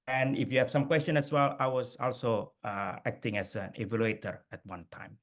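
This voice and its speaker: A middle-aged man from Indonesia presents over a video call. He talks in a hesitant way, full of fillers and restarts, with a voice of ordinary pitch for a man. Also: fairly even intonation, fairly quick. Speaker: guest speaker. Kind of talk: webinar presentation.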